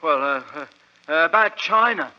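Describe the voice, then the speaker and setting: Piercing, blustery British pawnbroker. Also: male voice, fairly high pitch, lively intonation, normal speed, bright, forceful tone. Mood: flustered, plain-spoken. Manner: hesitant, blustery, plain-spoken. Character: blustery, naive, proud middle-aged London pawnbroker. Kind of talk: 1940s radio drama acting. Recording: dull vintage radio recording.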